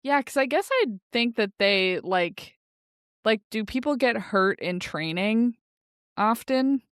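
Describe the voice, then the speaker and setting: A woman's voice: a little high, expressive, slow, fairly bright tone, smooth, dry recording, studio quality. A woman co-hosting a casual book discussion, informal and often laughing as she talks. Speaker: podcast co-host.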